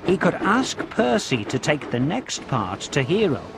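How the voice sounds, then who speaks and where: Animated, slow-paced male children's storyteller. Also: a little high, creaky, background noise. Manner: expressive, measured storytelling for young children. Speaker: narrator of a children's video game. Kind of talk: scripted children's storybook narration with game prompts. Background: background music.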